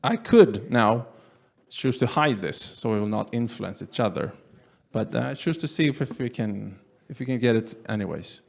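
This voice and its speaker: A man's voice: on the low side, normal speed, gravelly. Swedish-accented man giving a tech talk. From Sweden. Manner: relaxed, filler-heavy, reads live audience poll results aloud. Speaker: company general manager presenting. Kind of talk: live tech meetup presentation.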